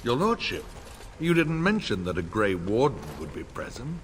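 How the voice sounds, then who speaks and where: An actor playing a middle-aged, grey-haired noble lord in a fantasy game, formal and courtly in a measured delivery. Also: male voice, medium pitch, sing-song, a little hiss or hum, close to an everyday voice. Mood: stiff, formal. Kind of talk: scripted fantasy game dialogue. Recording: long reverb, game music behind.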